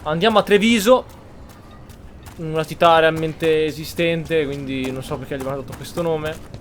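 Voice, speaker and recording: A man's voice: on the high side, a little fast, slight background noise. A man giving casual commentary while playing a video game. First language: Italian. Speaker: gamer commentator. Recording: loud game music behind the voice.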